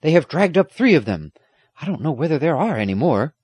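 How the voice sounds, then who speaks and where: A hearty old country squire from a storybook, performed by a man, doting and excitable. He speaks in a raised, very animated voice with a dry, close sound. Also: fairly high pitch, normal speed, no room sound, voice pushed up. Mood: excited. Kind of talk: audiobook character acting.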